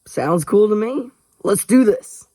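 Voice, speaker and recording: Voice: medium pitch, expressive, slow pace, dark tone, husky, no room sound, pitched above the performer's own voice. A narrator voicing an easygoing, playful boy with a casual, joking way of talking in a storybook. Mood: eager. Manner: casual, upbeat.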